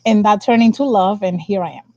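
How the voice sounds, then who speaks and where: A woman's voice: mid-range voice, a little fast, a little mellow, dry recording. A young woman from the Dominican Republic speaking as an interview guest, telling her own story in a candid, casual way. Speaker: interview guest, science communicator. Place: indoor room, on a video call. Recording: dull lo-fi video call audio.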